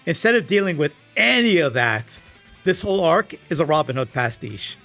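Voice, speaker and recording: A man's voice: fairly high pitch, very animated, moderate pace, sharp tone. A man delivering scripted comedic commentary as a host, in a sarcastic, exasperated, casual manner with some swearing. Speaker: video essayist and host. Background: background music.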